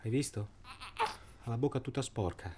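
A man's voice: medium pitch, normal speed, close to an everyday voice. Man acting a hesitant, troubled accomplice, noisy recording. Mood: uneasy. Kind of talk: radio drama acting.

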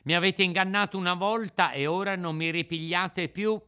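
A man's voice: fairly high pitch, unhurried, pushed and bright, pitched above the performer's own voice. A man voicing a spirited, cocky wooden puppet boy in an emphatic, declamatory storybook manner. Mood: scornful, taunting.

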